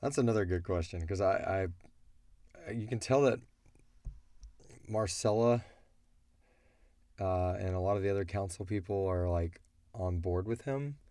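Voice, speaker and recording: A man's voice: low voice, measured pace, pauses often, mellow, no echo. A man answering listener questions as an audio drama creator, talking casually and bluntly as he thinks aloud.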